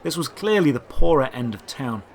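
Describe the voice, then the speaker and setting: A man's voice: medium pitch, lively intonation, moderate pace, slight background noise. A man narrating a scripted first-person adventure story, with outdoor ambience behind him. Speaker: narrator and creator of an audio drama.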